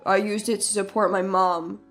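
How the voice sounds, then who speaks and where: A woman's voice: mid-range voice, little pitch movement, normal speed, a bit bright, faint music behind, voice pushed down, natural voice. A woman narrator voicing an arrogant, selfish husband, brash and defensive, in a story. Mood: evasive. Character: arrogant, selfish, irritable husband caught deceiving his wife.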